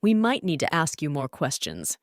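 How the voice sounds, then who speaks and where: A woman's voice: deep voice, wildly swooping pitch, moderate pace, no echo, studio quality. A woman voicing an earnest, brave male detective car in a children's storybook. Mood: serious.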